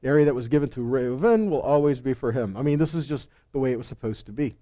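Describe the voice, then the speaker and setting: A man's voice: medium pitch, animated, fast talker, dark tone. A man giving a conversational Bible teaching, explaining didactically and often posing questions that he then answers himself.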